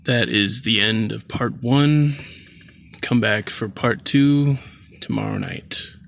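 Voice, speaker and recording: A man narrating a literary short story from a script, in a measured, formal manner with an occasional stumble. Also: lowish voice, vocal fry, fairly bright tone. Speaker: audiobook narrator.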